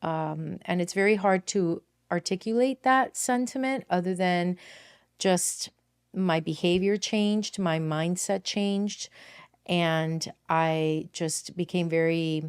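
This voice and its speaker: A woman in her fifties talks through her own story as a podcast host, her voice low and smooth. She speaks earnestly and reflectively, often pausing on fillers and correcting herself mid-sentence. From Miami, Florida, United States. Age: 50s. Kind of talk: personal storytelling monologue.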